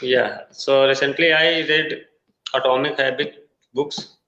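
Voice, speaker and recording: Halting Indian man on an echoey call. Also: medium pitch, steady tone, bright, forceful tone. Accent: Indian English. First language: Hindi. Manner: halting, searching for words with many uh fillers. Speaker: English learner. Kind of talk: online tutoring conversation. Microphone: video call. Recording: echoey, dull video-call audio.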